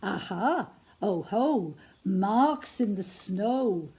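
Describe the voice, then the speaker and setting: Woman doing the gruffalo's child, little monster girl, brave and curious, bouncy pitch. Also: animated, extremely slow, warm tone, full character voice. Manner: bold, curious, wide-eyed.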